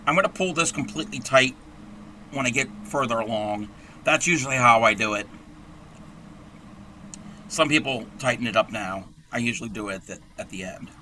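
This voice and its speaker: A man with a hoarse, scratchy voice who talks through a crochet tutorial in a rambling, chatty way and clears his throat often. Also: medium pitch, normal speed, long pauses, pushed and bright. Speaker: crochet livestream host.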